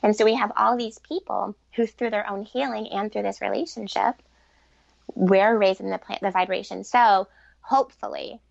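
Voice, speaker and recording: A woman's voice: mid-range voice, steady tone, normal speed, gravelly, no room sound, muffled recording. A woman speaking conversationally as an interview guest on spiritual matters, explaining earnestly and repeating points for emphasis. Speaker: podcast guest, author and relationship expert.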